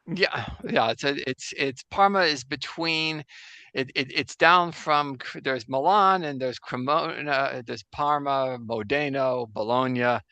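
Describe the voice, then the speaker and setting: A man's voice: mid-range voice, sharp tone, clean tone, dry recording. A man playing a rambling old Jesuit astronomer. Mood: wry. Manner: halting, full of restarts and fillers, joking anachronisms. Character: 17th-century Jesuit astronomer priest, man, very old, casual. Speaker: guest in role-play. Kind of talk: improvised in-character interview on a video call. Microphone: video call.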